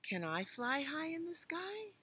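Woman voicing a high, curious little elephant child. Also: sing-song, measured pace, sharp tone, a little hiss or hum, heavily acted. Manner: questioning, eager.

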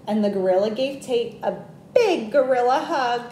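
A young woman reads a picture book to children with animated, swooping intonation. Her voice is high, and she takes her time. There is some noise in the roomy sound. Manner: animated, deliberate. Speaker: storyteller reading to children. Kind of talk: expressive children's picture-book read-aloud. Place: small plain indoor room. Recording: echoey room with background noise.

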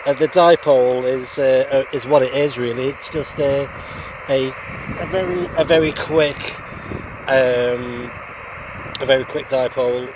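A man in his forties talking casually and haltingly in an outdoor vlog. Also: mid-range voice, fairly even intonation. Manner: halting, hesitant delivery. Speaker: amateur radio vlogger. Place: outdoors on an open hillside. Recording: noisy outdoor ambience.

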